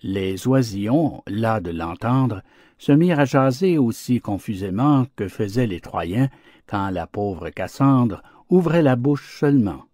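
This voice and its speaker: A man reads verse fables like an audiobook narrator. His voice is low and swings widely in melody, with a measured, theatrical delivery in a dry recording. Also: expressive, darkish tone, no echo.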